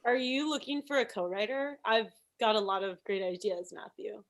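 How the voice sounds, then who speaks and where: A woman's voice: mid-range voice, normal speed, close to an everyday voice. A woman playing an oblivious, meddling show coworker in a comedy table read, chatty and matter-of-fact. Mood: breezy.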